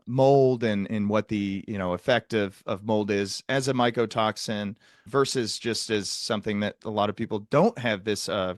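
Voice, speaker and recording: Low-voiced man, dry close recording. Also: no room sound. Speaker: podcast host, psychiatric provider. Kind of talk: conversational interview.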